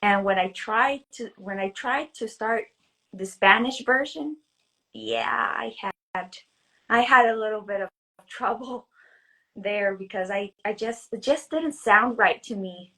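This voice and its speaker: A woman author from the United States answering interview questions in a hesitant, halting way with frequent restarts. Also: mid-range voice, a bit bright, poor audio. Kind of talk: casual interview chat.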